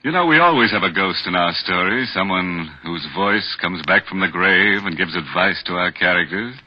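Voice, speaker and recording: An actor playing a gleefully morbid horror host on 1940s radio, theatrical and sardonic. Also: male voice, low voice, expressive, moderate pace. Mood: morbidly playful. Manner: sardonic, theatrical, savoring macabre jokes. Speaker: host and narrator. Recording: dull old radio recording, music behind.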